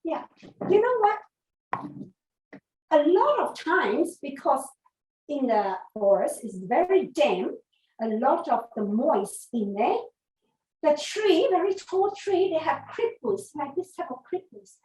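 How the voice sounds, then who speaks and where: A woman's voice: high-pitched, sing-song, slow, darkish tone. A woman teacher giving an interactive nature lesson to young children, asking simple questions and answering them herself. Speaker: early learning teacher. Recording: echoey room, distant from mic.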